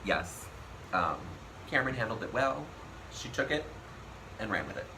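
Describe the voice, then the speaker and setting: Male host, low and lively voice, rambling recap chatter, noisy recording. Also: normal speed. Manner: chatty, laughs often, trails off with ums. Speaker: podcast host recapping a TV show. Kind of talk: casual chat.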